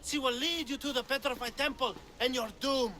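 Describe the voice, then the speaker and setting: A man playing a hostile, righteous and superstitious accuser in a video game cutscene, forceful and ominous. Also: very high voice, fairly even intonation, a little fast, faint music behind.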